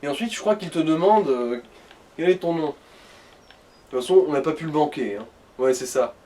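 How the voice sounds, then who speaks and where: A man's voice: a little high, animated, moderate pace, dark tone, recorded from a distance. A man chatting casually and explaining a video game to the person playing it. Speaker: co-player advising the host. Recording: voice off from the mic.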